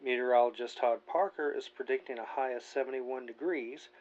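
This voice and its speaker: A man's voice: medium pitch, steady tone, brisk, dull audio, natural voice. A man playing a breezy morning radio announcer with scripted broadcast patter in an audio drama.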